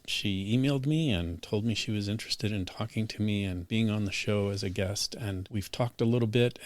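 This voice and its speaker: A man with a fairly low voice hosting a podcast interview, earnest and formal in his phrasing. He sounds encouraging toward his guest, and the recording is dry with no room sound. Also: no room sound. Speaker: podcast host.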